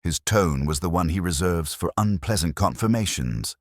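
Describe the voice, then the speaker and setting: A brooding, bookish detective acted by a man narrates a crime drama in a very deep male voice. The delivery is measured and melancholy, yet the intonation moves widely within each thought. The sound is dry, with no room around it. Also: expressive, dry recording. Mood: somber, reflective. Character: brooding, literary male police detective narrating his case. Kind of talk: slow scripted first-person mystery narration.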